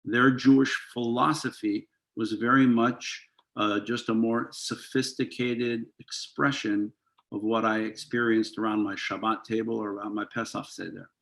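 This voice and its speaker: A man's voice: lowish voice, fairly even intonation, normal speed, gravelly, fairly bright tone. A man in his fifties, a rabbi, speaking earnestly and reflectively on a video call panel, with frequent ums and uhs. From United States. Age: 50s.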